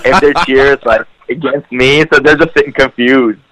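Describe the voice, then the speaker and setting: A man's voice: medium pitch, steady tone, moderate pace, a bit bright, no room sound, rough recording. A male wrestler whose first language is Farsi chats loosely as a podcast guest, his mid-range voice wandering through fillers and restarts. The sound is dry and close, with no room around it. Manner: rambling, full of "like" and "um" fillers. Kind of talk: casual podcast interview.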